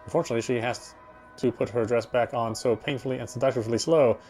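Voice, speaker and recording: A male actor voices a British husband's inner monologue in a visual novel game. He talks quickly, wry and brooding, as if confiding excuses to himself, with music clearly playing behind him. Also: mid-range voice, fairly even intonation, a little mellow, lightly acted. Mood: resigned, reflective. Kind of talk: first-person visual novel narration.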